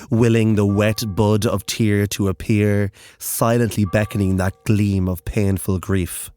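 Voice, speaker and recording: A narrator reading dark, literary horror fiction in a deliberate, intense and dramatic way. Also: low-pitched, smooth.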